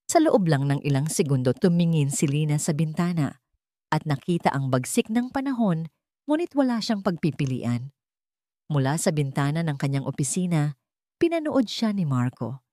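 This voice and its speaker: Female audio-drama narrator, low register, mellow tone, dry recording. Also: dry recording. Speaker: storyteller narrator.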